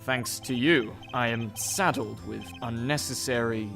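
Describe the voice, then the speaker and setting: A man's voice: medium pitch, unhurried, gravelly, bright, forceful tone. Guy voicing a cold stuck-up prosecutor, formal and clipped, game fan dub, faint music behind. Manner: stiff, formal, clipped delivery. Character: cold, haughty rival prosecutor, adult man. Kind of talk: visual novel fan dub.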